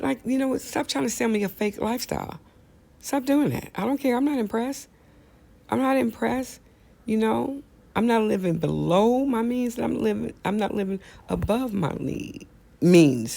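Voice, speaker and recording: A man's voice: high voice, gravelly, a little mellow. A man hosting a casual opinion monologue, talking straight to listeners and repeating phrases for emphasis. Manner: conversational, addresses listeners directly. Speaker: host.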